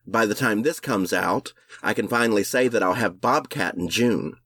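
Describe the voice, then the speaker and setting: A man who produces comedy shows chats casually in a brisk, matter-of-fact way. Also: fairly low pitch, fairly bright tone. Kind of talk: casual chat.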